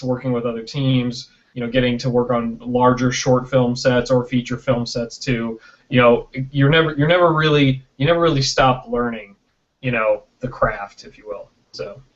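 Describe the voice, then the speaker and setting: American guy talking shop casually on a dull sounding internet call, average pitch, chatty. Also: normal speed. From Michigan, United States. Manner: conversational, peppers talk with "you know" and "right?", acts out imagined quotes. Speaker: interview guest, film producer and director. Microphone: online video call.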